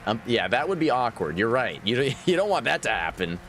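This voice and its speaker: Chatty man in his thirties, lively voice. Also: mid-range voice, brisk, a little hiss or hum. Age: 30s. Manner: chatty, laughs often, answers chat. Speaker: gaming livestreamer. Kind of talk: casual live gameplay chat.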